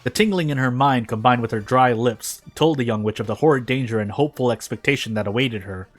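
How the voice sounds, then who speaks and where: A man's voice: mid-range voice, fast talker. Male story reader, mid-range voice, dramatic fan fiction narration with music underneath. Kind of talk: dramatic reading of prose fiction aloud. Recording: music behind the voice. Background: background music.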